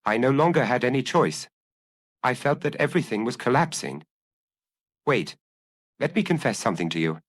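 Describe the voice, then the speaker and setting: Guy reading as a tormented young murderer, earnest confession, pitch swings all over, super dry recording. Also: animated, normal speed, long pauses, no echo. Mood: resigned. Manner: earnest, self-analyzing, confessional. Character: anguished young male killer confessing to his compulsion. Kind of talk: dramatic audiobook reading.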